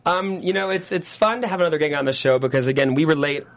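A young man from New Hampshire, guest in a casual interview, animated and gossipy, often repeating phrases. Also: a little high, fast.

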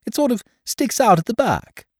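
A man reading an audiobook voices a cheerful, dim-witted young English gentleman with a chummy, breezy manner. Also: extremely high pitch, big pitch swings, moderate pace, breathy edge, no room sound, studio quality, voice pushed up, full character voice. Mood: puzzled.

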